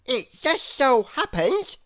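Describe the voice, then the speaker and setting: A silly, nonsensical storybook gentleman played by a woman. The voice is pitched up and loud, with a cheerful, matter-of-fact manner. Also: very animated, slow pace, no echo, heavily acted.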